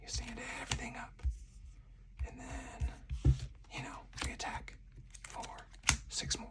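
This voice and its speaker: A person whispering softly and breathily in ASMR style, narrating a solo card game move by move as the host. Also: mid-range voice, huge pitch swings, unhurried. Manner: hushed, narrating each move aloud step by step.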